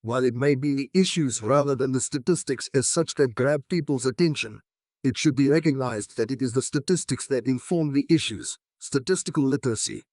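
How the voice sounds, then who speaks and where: A man reading formal practice passages aloud in a measured, carefully enunciated way. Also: mid-range voice, a little mellow, no room sound, studio quality.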